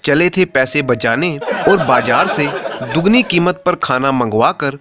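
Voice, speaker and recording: A man's voice: a little high, lively intonation, measured pace, a crowd in the background. A man narrating a scripted, humorous moral story as a storyteller.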